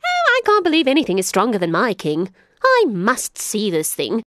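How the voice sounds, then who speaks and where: A woman pitches her voice up high to play a sly jackal trickster who flatters and chuckles through every line. His voice leaps high and bounces all over the place. The sound is close and dry. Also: dry recording. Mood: sly flattering. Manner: fawning, chuckling, quick chatter.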